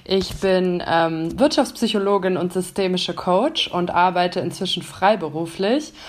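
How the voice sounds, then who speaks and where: A woman's voice: on the low side, unhurried. Enthusiastic woman guest on a podcast. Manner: enthusiastic, fluent, frequent fillers. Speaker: guest, business psychologist and coach. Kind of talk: casual podcast interview.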